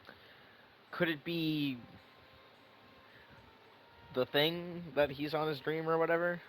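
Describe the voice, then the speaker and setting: A man's voice: a little high, fairly even intonation, slow, a bit bright, slight background noise, poor audio. A man giving rambling, wry, casual reaction commentary on a video game story. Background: game music.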